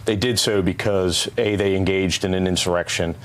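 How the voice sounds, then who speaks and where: Deep-voiced, halting former officer. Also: male voice, fairly even intonation. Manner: halting, serious, many fillers. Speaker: former police officer, interview guest. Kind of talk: broadcast interview.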